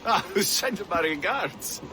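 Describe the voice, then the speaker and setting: A man's voice: high voice, animated, slow pace, noisy background. A man playing a genial naval captain in a video game, formal and polite. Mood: intrigued, wry.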